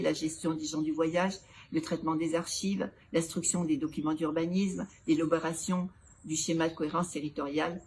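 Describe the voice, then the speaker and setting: A woman with a fairly low voice speaks as a local elected official in a formal, prepared interview. Her delivery is measured and didactic, laying out points in order. Clear background noise sits behind her. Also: a little mellow. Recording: clearly noisy background ambience.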